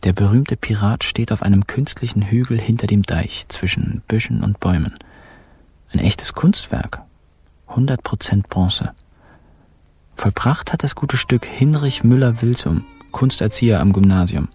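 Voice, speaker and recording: A man's voice: on the low side, a little slow, darkish tone, breathy edge, no echo. An actor playing a wry, irreverent man who tells a crime story in colloquial present tense for a radio play. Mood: wry storytelling. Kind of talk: colloquial first-person radio drama narration in present tense.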